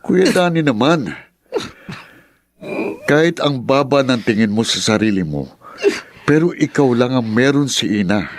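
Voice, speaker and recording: A man's voice: medium pitch, close to an everyday voice. A man with a Filipino accent acting a caring, frank younger brother and uncle in a Tagalog radio drama, with an earnest, familiar way of talking.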